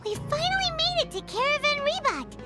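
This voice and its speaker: Casting: tiny naive game sidekick, extremely high squeaky voice, lively swings, chatty. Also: female voice, fairly quick. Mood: cheerful, curious. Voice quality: squeaky, childlike. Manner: speaks of self in third person, expressive. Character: small excitable childlike fairy companion, chatty and naive. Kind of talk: video game character acting. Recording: background music under the voice.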